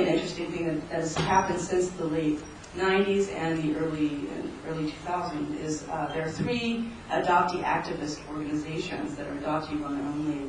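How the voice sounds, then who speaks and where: A woman presenting research at an academic conference, speaking in a measured, explanatory way with frequent ums and restarts. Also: deep voice. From United States. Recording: echoey hall, distant mic, noisy.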